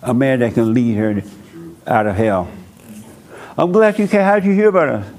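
A man's voice: mid-range voice, animated, moderate pace, a little mellow. A man hosting a church counseling session, talking bluntly and preachily with a dialect, often laughing at his own points. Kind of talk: blunt counseling talk to a congregation.

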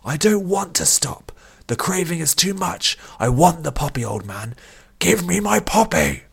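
A man's voice: a little high, sing-song, unhurried, gravelly, breathy edge, voice pushed up, heavily acted. A man voicing a desperate, addicted male bounty hunter in an audiobook story, given to growls and pleas. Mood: desperate shouting. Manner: growling, snapping and shouting, sinking to pleading whispers.

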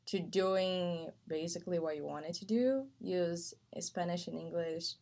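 A woman's voice: on the low side, unhurried, a little mellow. A woman whose first language is Portuguese, hosting a podcast interview in an encouraging, chatty way. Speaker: podcast host. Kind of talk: casual interview talk.

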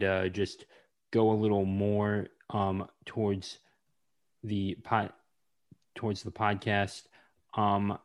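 A man hosting a podcast interview, hesitant, with many fillers and restarts. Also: fairly low pitch. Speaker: podcast host. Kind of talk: casual interview.

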